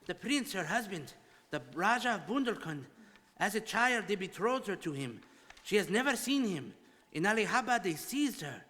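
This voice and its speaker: An actor playing a deferential, cautious Indian elephant guide, speaking solemnly and formally in a radio drama. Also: male voice, high voice, very animated, moderate pace, bright, forceful tone, full character voice. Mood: grave explanation. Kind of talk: stage radio drama acting. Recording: reverberant hall.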